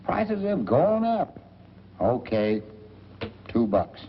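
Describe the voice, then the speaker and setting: An echoing, muffled vintage film track carries a grasping American lodger. The man is folksy and blunt, very slow in his words, in 1940s screen style. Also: mid-range voice, very animated, exaggerated voice. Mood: haggling. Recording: muffled, reverberant, noisy old film soundtrack.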